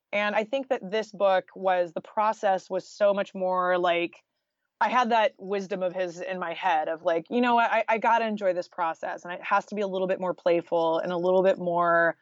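A woman's voice: lowish voice, no echo, muffled recording. A woman talking casually and informally as an interview guest, peppering her speech with "like" and "you know". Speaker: guest author and journalist.

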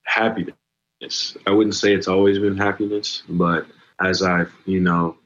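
Slow-talking deep young man. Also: lo-fi sound. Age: 20s. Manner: slow, relaxed, many ums and likes. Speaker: singer-songwriter guest. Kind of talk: casual interview.

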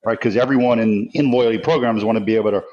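A man answers interview questions as a guest, chatty and loose, often tagging "right?". His voice sits fairly low. The audio is slightly dull, like a remote line. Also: fairly even intonation, quick pace, a little mellow. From New Orleans area, US Gulf Coast. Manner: conversational, many fillers, says "right?". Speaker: guest, tech company executive.

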